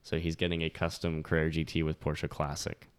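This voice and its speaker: Podcast co-host, male, very deep voice, blunt and easygoing. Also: completely flat delivery, brisk, smooth. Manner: blunt, laughs often, wraps up the show. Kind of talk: casual chat among friends.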